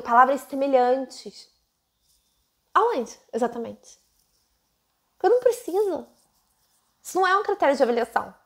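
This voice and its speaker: A Brazilian Portuguese woman teaching English test prep at home into her own microphone, lively and full of rhetorical questions. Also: high-pitched. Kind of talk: casual teaching monologue to camera.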